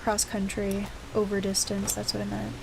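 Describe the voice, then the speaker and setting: A woman's voice: medium pitch, completely flat delivery, moderate pace, creaky, noisy background. A woman giving casual, chatty gameplay commentary, thinking aloud with playful little exclamations. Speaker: gamer streaming a blind play-through.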